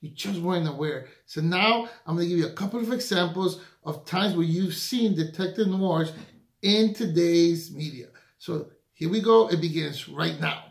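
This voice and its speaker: Higher-pitched man hosting for children. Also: moderate pace, creaky, reverberant. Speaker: read-aloud host for children. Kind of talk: informal explainer to camera. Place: small home kitchen, indoors.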